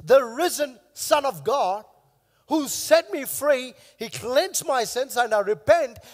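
A man in his thirties, a pastor with a hoarse, worn voice, preaching emphatically on a church stage into a headset mic, repeating key phrases. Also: extremely high pitch, animated, slow, in a large hall. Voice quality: coughing now and then. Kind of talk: sermon. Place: large church stage with long reverb.

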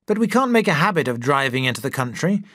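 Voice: medium pitch, big pitch swings, fast, fairly bright tone, no echo, clean studio sound. A narrator doing a proud young doctor. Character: ambitious young male doctor, proud and impatient. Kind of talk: audiobook character voice.

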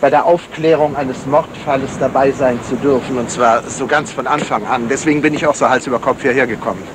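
Guy playing a smooth polite amateur detective, bit sly, old radio crime play, noisy sound. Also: medium pitch, fairly even intonation, a little fast, close to an everyday voice. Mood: courteous. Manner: polite, suave, wry. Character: urbane, courteous amateur detective and writer, sly. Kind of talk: 1970s German radio crime drama acting.

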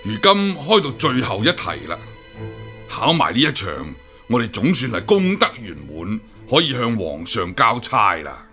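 A male actor with a Hong Kong Cantonese accent playing a guarded, smooth senior imperial examiner, formal and quick to take offence, in a radio drama. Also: mid-range voice, huge pitch swings, pushed and bright, soft background music, heavily acted. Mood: courteous, composed.

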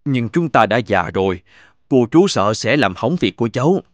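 A man's voice: medium pitch, big pitch swings, no echo, lightly acted. Man as a modest, kindly old cartoon uncle. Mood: hesitant. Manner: unhurried, humble. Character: modest, kindly elderly restaurant owner, male.

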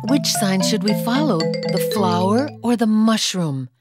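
A woman narrates a children's alphabet lesson in a gentle, patient voice. She speaks unhurriedly, with lively, sing-song pitch that rises and falls. Bright children's music plays behind her. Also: measured pace. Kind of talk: scripted children's educational narration. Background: children's background music.

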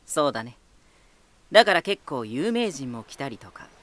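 An actor playing a teasing, talkative lighthouse spirit in an anime-style voice drama, boyish and self-assured with an unsettling edge. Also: male voice, medium pitch, big pitch swings, moderate pace, a bit bright, dry recording. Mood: relaxed, proud. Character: playful male lighthouse spirit, teasing, talkative, eerily battle-hungry.

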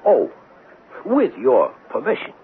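A man acting a blunt, suspicious police sergeant in a 1970s radio drama, with gruff, clipped, informal talk. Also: medium pitch, huge pitch swings, slow, exaggerated voice. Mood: skeptical. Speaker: actor in a radio mystery drama. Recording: muffled, band-limited, noisy old radio recording.

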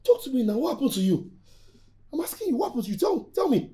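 Insistent boyfriend with wildly swinging pitch. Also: male voice, sing-song, fast talker, mellow. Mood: worried, urgent. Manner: insistent, repetitive, pleading. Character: adult boyfriend, agitated, protective, then disbelieving and placating. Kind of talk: dramatic film dialogue.

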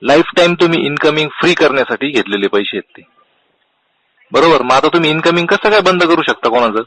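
A man's voice: on the high side, animated, fairly quick, a bit bright, slight background noise, rough recording. A man making a phone complaint, confrontational and insistent, pressing with repeated questions. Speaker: customer calling a complaint line. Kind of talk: argumentative complaint phone call.

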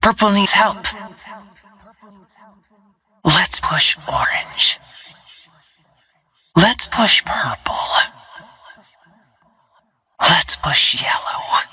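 A woman voicing a stealthy, cold-blooded assassin in a video game, with hushed, clipped, menacing short lines in a breathy, whispery voice. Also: fairly low pitch, animated, very slow, long pauses, exaggerated voice. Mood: urgent. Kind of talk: video game character callouts.

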